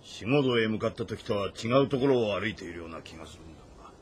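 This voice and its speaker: A gruff, easygoing male general acted by a man in a historical game drama. His voice is fairly low and he talks in blunt, teasing fashion, with faint ambient noise. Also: animated, fast, natural voice. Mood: teasing, relaxed.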